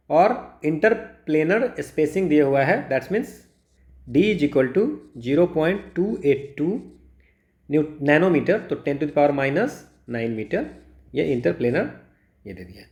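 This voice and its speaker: Male Indian tutor for exam walkthroughs: slow delivery, switches between Hindi and English, poses and answers questions, roomy echo. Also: on the high side, darkish tone. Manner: mixes Hindi and English, asks himself questions then answers. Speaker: physics tutor. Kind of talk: step-by-step exam problem walkthrough. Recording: echoey room.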